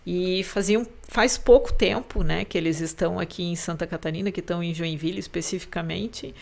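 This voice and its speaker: A woman hosting a podcast interview, speaking in reflective, drawn-out phrases with frequent restarts. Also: lowish voice, gravelly. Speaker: podcast host. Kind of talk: conversational interview.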